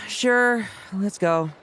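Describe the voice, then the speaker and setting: A man's voice: sits high, very slow, sharp tone, faint music behind, heavily acted, poor audio. An actor voicing a sardonic, restless young male knight in a video game, with a wry, teasing manner. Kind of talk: English video game dub acting.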